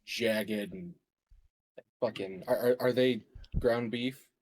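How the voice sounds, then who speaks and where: A man's voice: mid-range voice, steady tone, takes it slowly, no echo. Man chatting at a game, dry sound. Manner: wisecracking, informal. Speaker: tabletop game player. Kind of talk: casual joking game chat.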